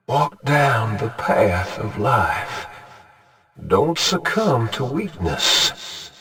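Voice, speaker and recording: A man playing a grave, commanding mentor in an animated video game cutscene, speaking in a solemn, measured way. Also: mid-range voice. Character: grave, commanding male mentor passing on his leadership.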